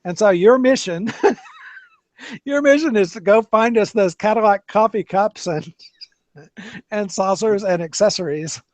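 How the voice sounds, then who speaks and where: High-voiced man rambling in casual chat. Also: measured pace, pauses often, no echo. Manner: rambling, many restarts and fillers. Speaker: podcast host. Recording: dull lo-fi dry sound.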